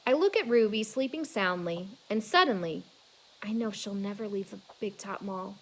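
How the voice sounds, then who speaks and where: A woman reading a children's novel aloud in an expressive, dramatic storytelling manner. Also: medium pitch, moderate pace, low-quality recording. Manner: dramatic, with emphatic exclamations and hushed asides.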